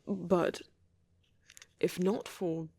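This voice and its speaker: Man acting a shy, breathy young vampire boy. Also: sits high, little pitch movement, dry recording, exaggerated voice. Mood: flustered, earnest. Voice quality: breathy, soft, often dropping into whispers. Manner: hesitant, halting, rambling, self-correcting. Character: shy young male vampire, rambling enthusiast, apologetic and sweet. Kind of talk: ASMR roleplay, intimate rambling.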